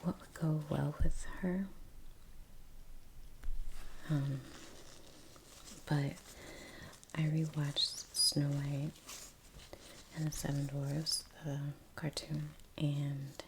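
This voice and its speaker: Soft whispery asmr lady, kinda flat n creaky, halting casual chat, takes her time. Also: sits low, noisy background. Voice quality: breathy, often whispery. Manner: hushed, halting, unhurried. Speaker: ASMR artist hosting a painting session. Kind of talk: soft-spoken ASMR, casual musing while painting.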